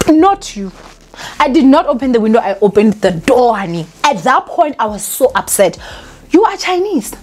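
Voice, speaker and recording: A young woman telling a storytime vlog to her viewers in an animated, emphatic way. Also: on the high side, moderate pace. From South Africa. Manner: animated and emphatic, talks to viewers as "guys" and "honey". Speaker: vlogger.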